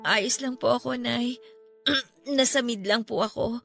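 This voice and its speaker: A woman playing a distressed, submissive young woman in a radio drama, speaking meekly and haltingly. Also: fairly high pitch, steady tone, vocal fry, fairly bright tone. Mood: meek, evasive. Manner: meek, halting, tearful, deferential. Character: distressed, submissive young pregnant woman, a mistress enduring abuse. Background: music.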